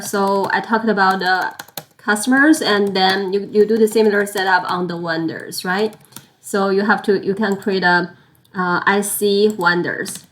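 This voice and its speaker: Woman, software instructor, medium pitch, unscripted tutorial delivery, halting, repeats phrases, step-by-step explaining.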